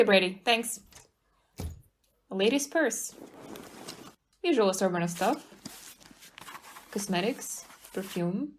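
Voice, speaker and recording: A woman playing a brisk, no-nonsense police inspector in an audio play, speaking matter-of-factly. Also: on the high side, a little hiss or hum. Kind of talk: amateur audio play acting.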